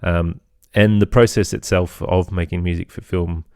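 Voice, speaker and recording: A man with an Australian accent, talking as an interview guest in an agreeable, conversational way with softened phrasing. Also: low voice, steady tone, normal speed, smooth, dry recording, clean studio sound. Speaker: podcast guest, musician and composer.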